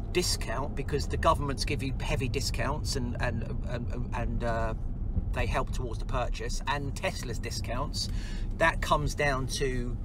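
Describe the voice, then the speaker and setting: A middle-aged man with an English accent chatting casually and in a rambling, informal way in a car vlog. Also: medium pitch. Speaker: vlogger, design and construction business owner. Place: inside a car. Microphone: camera at about arm's length. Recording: clear vehicle noise inside a car.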